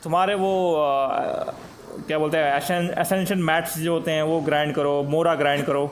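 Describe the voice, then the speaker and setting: A man's voice: on the high side, steady tone, racing, slight background noise. A man with an Indian accent chatting casually and grumbling as a game streamer, switching between two languages. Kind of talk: casual chat over live gameplay.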